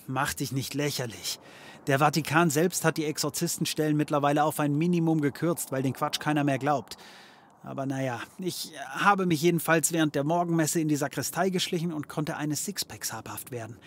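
An actor playing a cocky, scheming occult detective in a comedy audio drama, wry and theatrical. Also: male voice, a little high, fairly quick, lightly acted. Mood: cheeky, amused. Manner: wry, theatrical, mock-patronising.

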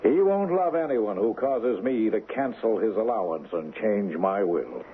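A man's voice: on the low side, expressive, unhurried. An actor playing a domineering, brusque middle-aged millionaire father, curt and dismissive, in a 1970s radio drama. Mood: scornful, commanding. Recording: muffled, noisy 1970s radio broadcast.

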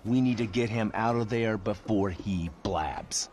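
An actor playing an arrogant, bullying American federal agent in a video game, smug and aggressive. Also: male voice, lowish voice, unhurried, a little mellow, a little hiss or hum. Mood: domineering. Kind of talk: video game cutscene acting.

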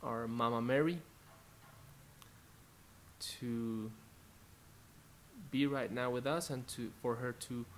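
A young man in his twenties from Colombia, Spanish-speaking by birth, with a Colombian Spanish accent, introducing worship songs at home in a soft-spoken, halting way. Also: mid-range voice, steady tone, a little hiss or hum. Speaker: worship musician. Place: living room at home, indoors. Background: faint acoustic guitar.

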